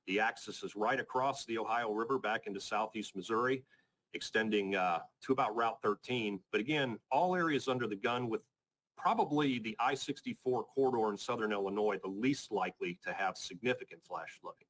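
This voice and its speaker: A man with a fairly low voice leads a briefing as a weather forecaster. He talks through his slides informally but technically, staying measured and matter-of-fact. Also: fairly bright tone, rough recording. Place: conference call presentation.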